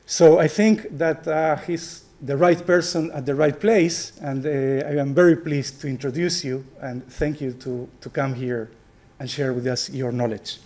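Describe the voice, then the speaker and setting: A man hosting an event, formally introducing a guest speaker in a halting, hesitant way. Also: fairly high pitch, steady tone, darkish tone.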